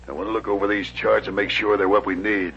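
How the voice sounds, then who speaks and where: An actor playing a calculating, commanding outlaw boss in a 1950s radio western. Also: male voice, lowish voice, flat delivery, quick pace, gravelly. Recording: dull, noisy old radio recording with hum.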